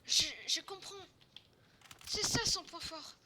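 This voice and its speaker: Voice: very high voice, slow pace, a loud crowd. A female speaker improvising role-play dialogue during a game, stammering and bossily feeding the others their lines. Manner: stammering, repeating words, bossy prompting. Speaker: player leading a role-play skit. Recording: noisy game audio with clicks.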